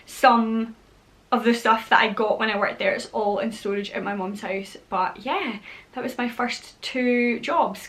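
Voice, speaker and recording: Scottish woman, 30s, bubbly vlog chat, kinda high and really bright, little whispered jokes. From Scotland. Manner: chatty and bubbly, with playful whispered asides. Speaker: vlogger. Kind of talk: casual chat to camera.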